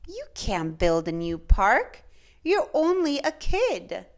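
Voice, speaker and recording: A woman's voice: on the high side, wildly swooping pitch, takes it slowly, full character voice. A woman voicing a condescending, fussy female city hall clerk in a children's storybook.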